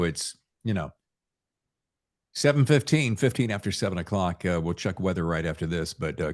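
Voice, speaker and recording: An American man hosting a podcast, telling anecdotes in a casual, animated storytelling manner. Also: low-pitched. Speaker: podcast host; former radio morning show host.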